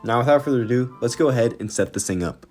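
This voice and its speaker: A man's voice: mid-range voice, fast, a little mellow, soft background music. A man giving a casual product review to his viewers in informal, slangy language. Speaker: product reviewer and video host.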